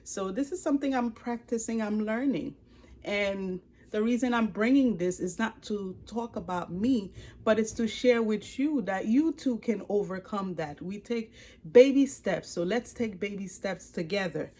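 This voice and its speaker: A woman in her forties with a Haitian accent, giving an earnest, expressive personal testimony to camera. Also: mid-range voice, unhurried. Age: 40s. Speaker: life coach and ministry leader. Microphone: speaking to a camera.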